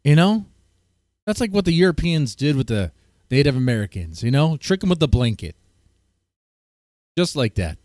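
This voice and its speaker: A man streaming live, tossing slow, goofy asides to his chat. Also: fairly high pitch, sing-song, a little mellow, dry recording, clean studio sound. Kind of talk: livestream commentary to chat.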